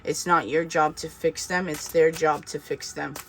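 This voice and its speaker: A man's voice: fairly high pitch, flat delivery, moderate pace, gravelly, fairly bright tone, a little hiss or hum. A man with a slight Boston accent giving a casual, reassuring tarot reading, talking to viewers with many fillers and asides. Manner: chatty, reassuring, with frequent fillers and laughs. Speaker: tarot reader.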